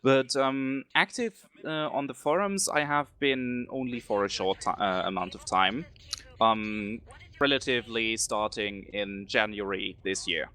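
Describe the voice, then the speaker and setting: Bright-voiced German man, hesitant. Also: mid-range voice, a little slow, smooth. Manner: hesitant, many ums, laughs easily. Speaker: guest, faction leader. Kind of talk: casual interview answers.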